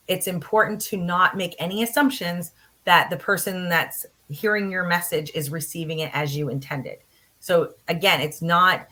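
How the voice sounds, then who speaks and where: A woman's voice: fairly low pitch, sharp tone. A woman college instructor giving a conference talk in an earnest, practical way, with frequent fillers. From Canada. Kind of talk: conference presentation.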